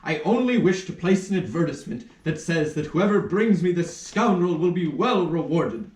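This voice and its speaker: A man's voice: high-pitched, expressive, moderate pace, darkish tone. A man playing a flustered, pompous, self-important minor official in a theatrical puppet show, stammering with indignation. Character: flustered, pompous minor official who lost his nose. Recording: roomy, distant rehearsal room recording.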